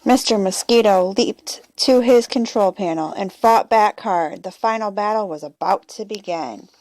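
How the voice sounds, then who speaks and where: A woman's voice: medium pitch, measured pace, a little mellow, poor audio. A woman reading a children's storybook aloud as the storyteller.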